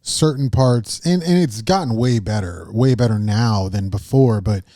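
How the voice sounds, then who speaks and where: A man with a mellow, middle-pitched voice co-hosting a casual podcast. He wanders through his stories with plenty of "like" and restarts, in a dry, close recording. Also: moderate pace, no echo. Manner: rambling, many fillers and restarts. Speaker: podcast co-host. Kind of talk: casual chat.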